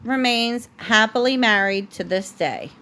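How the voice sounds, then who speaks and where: A woman's voice: fairly high pitch, a little slow, bright, forceful tone. A woman reading a storybook aloud as a parent at home, with casual asides and the odd stumble over words. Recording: noisy home recording.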